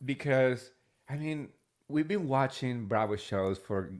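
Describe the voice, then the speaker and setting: A man's voice: medium pitch, lively intonation, slow, a little mellow. Rambling man in his late thirties. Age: late 30s. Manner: rambling, hesitant with many fillers. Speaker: podcast guest. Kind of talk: casual chat.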